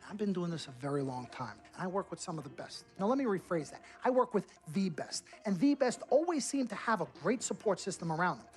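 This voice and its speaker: Slick sports agent over music. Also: male voice, high voice, lively intonation, fast, hardly any pauses. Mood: boastful, persuasive. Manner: emphatic salesman patter, repeats himself for effect. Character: slick, boastful, smooth-talking male sports agent. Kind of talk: video game cutscene acting.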